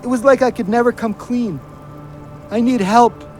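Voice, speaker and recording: A man's voice: very high-pitched, fairly even intonation, moderate pace, faint music behind. An actor playing a troubled, defensive young man just out of jail, in a naturalistic audio drama. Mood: scared, despairing. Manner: bitter, sarcastic, coarse.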